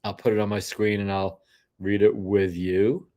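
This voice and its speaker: A man's voice: fairly low pitch, flat delivery, normal speed, darkish tone. An older man hosting a solo livestream, rambling through casual commentary with frequent restarts and asides, sniffly from a cold. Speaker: host.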